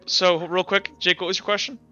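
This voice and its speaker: A man acting as game master, improvising narration and rulings in a halting way over an online call. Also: high-pitched, little pitch movement, bright, forceful tone, muffled recording. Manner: halting, feeling out descriptions. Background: faint music.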